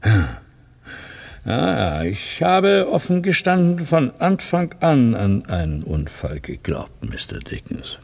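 Slow, gravelly police inspector. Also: male voice, medium pitch, wildly swooping pitch, a little mellow, exaggerated voice. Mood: pensive. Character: deliberate, probing male police inspector. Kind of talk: 1970s German radio crime drama acting.

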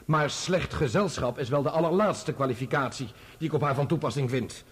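A man's voice: mid-range voice, fairly quick, slightly rough, close to an everyday voice. An actor playing a proud, indignant man testifying in a radio play, answering formally and defensively. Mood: guarded, firm. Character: indignant, proud adult son testifying in court. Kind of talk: radio play courtroom acting.

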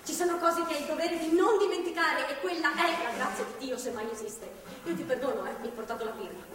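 A furious, defiant captive woman played by an actress in a stage drama. Her voice is very high and she is bitter and confrontational, heard from far off in a very echoey hall. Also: fast, close to an everyday voice. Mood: bitter, cold. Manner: bitter, confrontational, foul-mouthed. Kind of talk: live stage drama acting. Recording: distant mic, very echoey noisy theatre hall.

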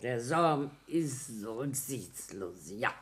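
An actress playing a meek, tipsy older wife in a German radio play, with a Bavarian accent, a husky voice and slurred drawling speech. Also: female voice, very deep voice, animated, takes it slowly, heavily acted. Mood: meek agreeing.

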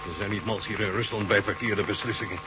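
An actor playing a Russian marshal in a radio drama, authoritative and plain-spoken. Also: male voice, fairly low pitch, steady tone, fast, natural voice. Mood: worried. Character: Russian marshal in command of a space base. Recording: humming noisy old radio recording.

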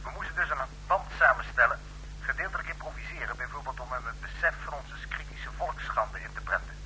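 A cold laboratory chief medic in a radio play. His voice is very bright and sharp, his delivery formal, methodical and clinical, over faint noise. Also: medium pitch, a little fast, low-quality recording. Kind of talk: radio drama acting in Dutch.

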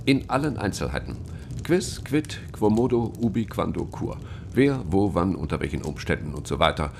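A gravelly, low-voiced cardinal of the seventeenth century, speaks with stern authority and sweeping emphasis. It is formal radio drama acting, over a noisy, rumbling background. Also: male voice, sing-song, moderate pace, a little mellow, exaggerated voice. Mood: instructing.

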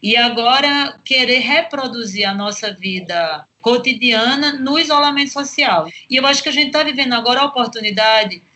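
Bright Brazilian woman in discussion. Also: a little high, moderate pace, a little hiss or hum. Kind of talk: conversational academic discussion.

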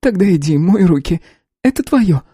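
A man voicing the narrator's young adult sister, who speaks in a high-pitched, emotional way. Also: very animated, moderate pace, dark tone, slightly rough, no room sound, studio quality, full character voice. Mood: caring.

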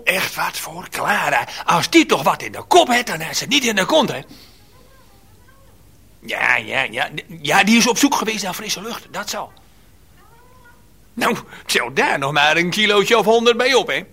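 An actor playing an excitable, folksy farmer on the phone in a radio play, husky and full of exclamations. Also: male voice, high voice, heavily acted. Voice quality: husky, sharp. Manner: folksy exclamations, repeating himself.

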